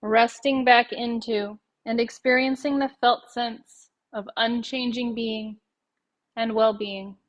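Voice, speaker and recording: Even-toned woman guiding meditation. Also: a little high, rough recording. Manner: measured, gentle phrasing with long pauses between short phrases. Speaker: yoga nidra meditation guide. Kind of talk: guided meditation, slow and soothing narration.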